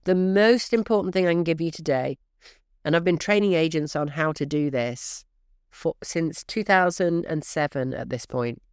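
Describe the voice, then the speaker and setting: A middle-aged woman host coaches her listeners in a brisk, emphatic way, calling them "guys". Her voice is smooth and clear underneath, though stuffy and snuffly from allergies. The recording is close and dry, with no room sound. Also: sits low, darkish tone, no echo. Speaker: real estate podcast host. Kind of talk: direct-to-listener podcast advice.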